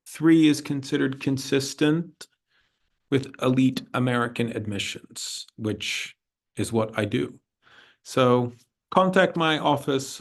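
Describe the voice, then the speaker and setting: A man's voice: mid-range voice, creaky. A man working as an admissions consultant, informally answering student questions in a frank, encouraging way and often repeating himself. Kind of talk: informal advisory Q&A.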